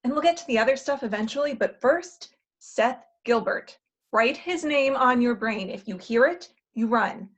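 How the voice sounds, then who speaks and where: Insistent, wry woman, slightly high voice, acted. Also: animated, normal speed. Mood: urgent, emphatic. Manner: urgent, emphatic, wry. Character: insistent, jaded older self from the future. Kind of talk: stage comedy acting in a table read.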